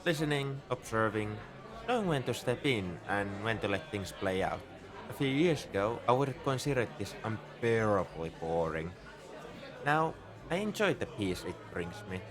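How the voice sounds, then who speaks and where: A man's voice: fairly low pitch, expressive, normal speed, natural voice. A man playing a shrewd, easygoing bartender at a space outpost in a conversational, knowing ASMR roleplay monologue. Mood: reflective, musing. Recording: crowd chatter behind the voice. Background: bar crowd murmur.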